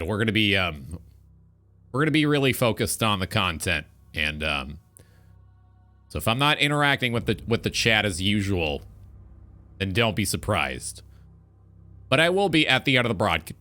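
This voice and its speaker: A man's voice: lowish voice, pushed and bright. Male talk-stream host, radio-show style, announcer-like emphasis, widely swinging intonation, faint music underneath.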